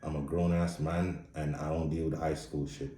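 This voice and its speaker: A man's voice: extremely low pitch, flat delivery, moderate pace, dark tone, reverberant, far from the mic, a little hiss or hum. A man with a Jamaican accent giving a blunt, profane, casual movie review. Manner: blunt, profane, speaks straight with no filter. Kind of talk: casual opinionated review monologue.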